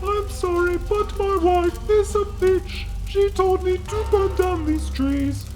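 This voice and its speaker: Someone voicing a tiny, high-pitched henpecked husband creature. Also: fairly even intonation, slow pace, darkish tone, soft background music. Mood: sheepish excuse. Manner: apologetic, complaining. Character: meek, henpecked husband creature, crude and glum. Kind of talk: animated series dialogue.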